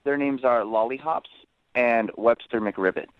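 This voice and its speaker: A man's voice: medium pitch, a little slow, heavy background noise. A man calling in to a radio show, chatting casually and earnestly, often stammering and repeating words. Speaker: caller. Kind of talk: casual phone-in chat. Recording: band-limited tinny phone line.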